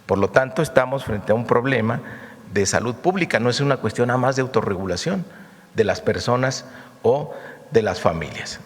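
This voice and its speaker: Mexican man presenting in a reverberant hall. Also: medium pitch. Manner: didactic, enumerating points with rhetorical questions. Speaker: government presenter at a press conference. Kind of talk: formal public presentation with slides. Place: large hall, official press conference.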